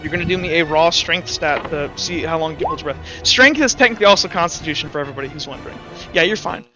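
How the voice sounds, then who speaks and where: A man acting as game master in a tabletop roleplaying game, narrating off the cuff and calling for rolls in a halting, think-aloud way. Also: a little high, music in the background.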